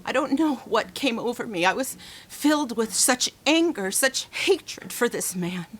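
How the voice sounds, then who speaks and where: A woman's voice: a little high, expressive, measured pace, a bit bright. An American woman playing a young, bitter and impassioned daughter in a radio drama, with a dramatic, intense delivery. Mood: bitter, confessional. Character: bitter, impassioned grown daughter with long-held anger.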